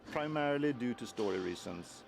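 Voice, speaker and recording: A man, a game developer, answering interview questions in a measured way while avoiding spoilers. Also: medium pitch, animated, vocal fry. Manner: measured, careful not to spoil, with fillers.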